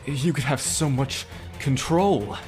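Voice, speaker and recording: A man performs a scheming broker villain in an audio drama. He is smarmy and cowardly, with a medium-pitched voice and slow, theatrical delivery over faint music. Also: natural voice. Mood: nervous, wheedling.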